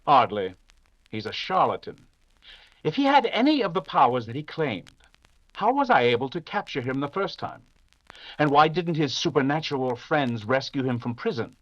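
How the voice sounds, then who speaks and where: An assured male detective, played by an actor in an old-style radio mystery, on slightly dull audio. His delivery is brisk and dismissive, with lively swings of pitch around a middle register. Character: confident, dismissive veteran English detective, dryly amused and unflappable. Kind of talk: 1940s radio drama acting.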